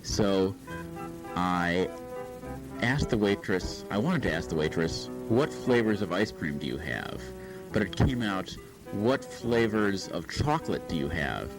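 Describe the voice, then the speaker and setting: A man telling a personal story casually and haltingly, pausing in mid-sentence. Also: low voice, very animated, rough recording. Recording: music playing behind the voice.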